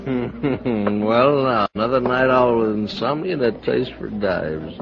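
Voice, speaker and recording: An actor playing a drunk, bitter middle-aged TV producer with a theatrical, rambling delivery in an old-time American radio drama. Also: male voice, mid-range voice, takes it slowly, gravelly, background noise. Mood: boozy, theatrical. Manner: rambling, mock-grand, chuckling. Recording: muffled old radio broadcast recording.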